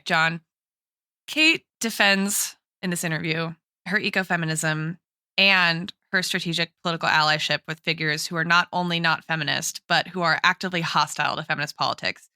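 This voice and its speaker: Woman, academic podcast co-host, clear smooth voice, dry close sound, intellectual discussion, frequent "right?" tags. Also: on the low side, bright, forceful tone, no room sound. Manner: intellectual, often checks in with "right?". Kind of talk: analytical conversational discussion.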